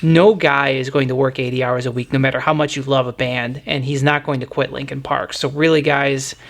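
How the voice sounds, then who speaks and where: A man's voice: mid-range voice, steady tone, brisk, fairly bright tone. A man hosting a podcast, talking through music in a casual, explanatory, conversational way. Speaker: podcast host.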